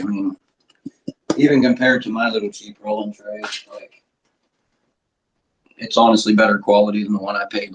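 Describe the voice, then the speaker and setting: A middle-aged man with a sore throat chatting casually to viewers, clearing his throat often and swearing offhand. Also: fairly low pitch, a little hiss or hum, low-quality recording. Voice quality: husky. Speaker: cannabis vlogger. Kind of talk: casual rambling chat to viewers.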